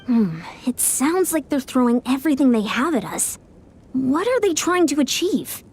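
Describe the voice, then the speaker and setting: A woman's voice: sits high, very animated, moderate pace, darkish tone, a little hiss or hum. A woman playing an earnest, practical young female warrior in a video game, speaking directly. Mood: concerned. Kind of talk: video game dialogue acting.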